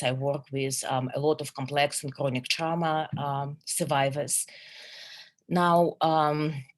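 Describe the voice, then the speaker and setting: A middle-aged woman from Russia with a Russian accent, a psychologist giving an earnest, measured webinar presentation with many fillers and restarts over a video call. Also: sits low.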